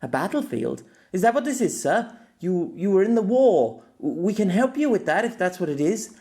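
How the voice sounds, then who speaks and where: A man's voice: high voice, very animated, moderate pace, dark tone, voice pushed up. A man voicing a firm young policewoman who urgently pleads with an armed man in an audiobook. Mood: pleading.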